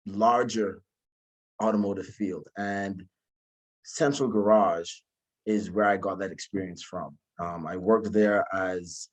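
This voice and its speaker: A man, giving an informal talk about his own story in a polite, humble way, often halting and repeating words. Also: on the low side, darkish tone, rough recording. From Bahamas. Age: 20s. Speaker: guest speaker, alumnus.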